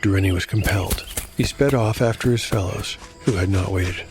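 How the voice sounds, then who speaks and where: A man with a low voice narrates dark fantasy in a measured, deliberate way. He works as storyteller and game master of a solo tabletop tale, with clear music behind him.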